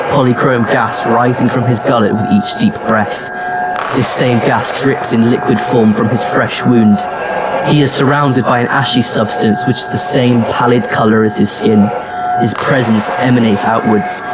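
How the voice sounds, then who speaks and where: A man's voice: medium pitch, natural voice, rough recording. A man acting as a haunted immortal who narrates his own tale in an audio drama. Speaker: narrator and lead actor.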